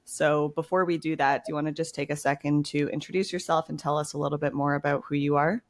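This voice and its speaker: A podcast host with a voice of middling pitch asks casual interview questions. The tone is friendly and easygoing, full of "like" and "kinda". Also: flat delivery, quick pace. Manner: friendly, laughs along, uses like and kinda.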